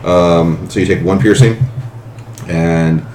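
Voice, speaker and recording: A very low-voiced man runs a tabletop game as dungeon master, calling out turns, rolls and damage in a plain, matter-of-fact way. He is recorded in an echoey room with a faint hiss underneath. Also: steady tone, slow pace.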